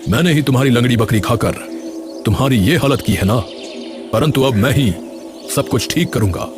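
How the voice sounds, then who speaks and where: A man's voice: medium pitch, expressive, normal speed, natural voice. A man playing a magical talking wolf in a cartoon story, a friendly benefactor who speaks formally and with authority. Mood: friendly, reassuring. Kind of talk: Hindi cartoon story character dialogue. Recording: music behind the voice.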